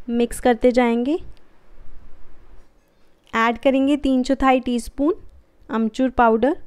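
A woman hosting a cooking channel, giving calm, friendly step-by-step recipe instructions in a voice-over. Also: high voice, clear, resonant tone.

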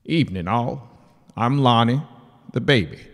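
A man voicing a folksy, emotional uncle in an audiobook, making a halting, heartfelt speech to a party. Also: mid-range voice, expressive, big-room sound, voice pushed up, natural voice. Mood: jovial, nervous. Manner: halting, heartfelt public address. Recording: music playing behind the voice.

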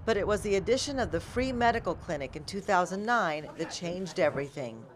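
A woman's voice: lowish voice, lively intonation, brisk, crowd noise. A middle-aged American woman reading scripted TV news narration as an anchor and reporter.

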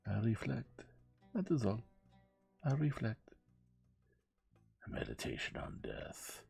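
A man playing a courteous, pensive gentleman detective in an audiobook, measured but firm. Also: mid-range voice, huge pitch swings, gravelly, slightly rough, soft background music, voice pushed up, full character voice.

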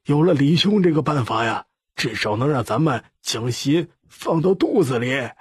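A man's voice: mid-range voice, lively intonation, normal speed, darkish tone, breathy edge, no echo, studio quality, pitched above the performer's own voice. A man voicing eager old followers in an audiobook, admiring and exclamatory in manner. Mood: relieved admiration.

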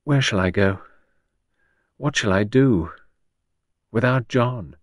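A man's voice: mid-range voice, slow pace, no echo, studio quality, lightly acted. A man voicing a tired, grieving young woman with a bitter edge, in an audiobook reading. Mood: lost. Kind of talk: audiobook character voice.

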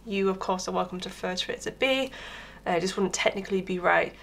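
A woman with a hoarse voice from being under the weather, chattily explaining a piano tutorial step by step at home, recorded on a crackling camera mic. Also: lowish voice, fast talker, a bit bright. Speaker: piano teacher. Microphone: camera microphone with crackle. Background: piano playing.

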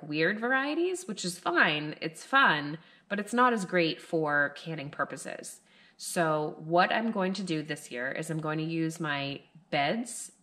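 A woman in her thirties with a low voice talks casually to a camera in her living room at night. She rambles along loosely and fills her pauses with "like" and "um" as she chats about her garden. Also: a bit bright. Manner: rambling and chatty, with many fillers. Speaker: homestead gardening vlogger.

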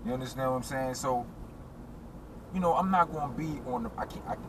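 A man's voice: mid-range voice, brisk, far from the mic. A man in his forties with a New York accent, telling stories in a laid-back, casual way as an interview guest in a moving car. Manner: laid-back storyteller, frequent "you understand what I'm saying". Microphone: camera mounted on dashboard. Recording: car interior with loud road noise.

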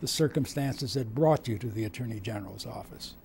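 A man interviewing an older guest, asking questions in a measured, conversational way. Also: medium pitch, creaky, darkish tone, a little hiss or hum.

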